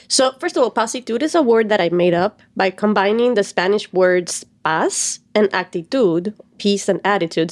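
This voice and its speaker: A woman's voice: medium pitch, darkish tone. Cuban-born woman coach, earnest. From Cuba. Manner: earnest, explains at length with fillers. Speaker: stress and anxiety coach, guest. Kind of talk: reflective interview talk.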